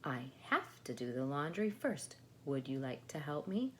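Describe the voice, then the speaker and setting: Storybook grandmother acted by a woman: elderly, loving, gently measured, lilting, faint background noise. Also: deep voice, sing-song, heavily acted. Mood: patient, chiding. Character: patient, affectionate old Russian grandmother.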